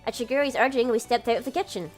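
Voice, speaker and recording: A woman's voice: fairly high pitch, very fast, faint music behind. A woman hosting a gaming video, reading a visual novel aloud and adding casual commentary.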